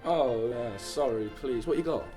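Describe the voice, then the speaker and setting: A man's voice: medium pitch, normal speed, warm tone, lightly acted. Guy as a teen lad, polite but informal, mid voice, bit of music under. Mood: casual, cheerful. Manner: informal, hesitant. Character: polite, down-to-earth working-class teenage boy. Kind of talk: radio drama acting.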